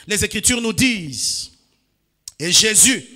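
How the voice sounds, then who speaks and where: A man from DR Congo preaching a sermon, moving from quiet asides to shouted calls to the congregation. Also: high-pitched, lively intonation, takes it slowly. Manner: fervent preaching with call-and-response, swinging between quiet asides and shouts. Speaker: pastor. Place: church. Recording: church hall reverb.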